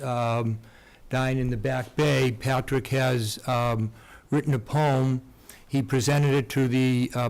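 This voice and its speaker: A man's voice: mid-range voice, darkish tone. A man on a town board introducing a guest at a public meeting, hesitant with many ums and uhs.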